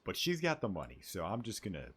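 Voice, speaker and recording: A man's voice: lowish voice, huge pitch swings, fast talker, a bit bright, a little hiss or hum. A man streaming a game, reacting in casual off-the-cuff commentary, with game music behind his voice.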